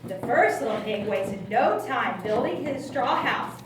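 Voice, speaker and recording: A woman's voice: on the high side, lively intonation, a little slow. A woman narrating a scripted children's story for a puppet show. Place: indoor room at a library. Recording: very echoey room, distant mic, clear noise.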